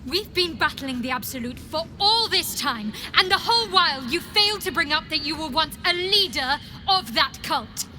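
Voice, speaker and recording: An actress playing a sharp, reproachful young woman companion in a fantasy game, pointed and curt. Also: high voice, animated, normal speed, hardly any pauses, a little hiss or hum. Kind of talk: game dialogue acting.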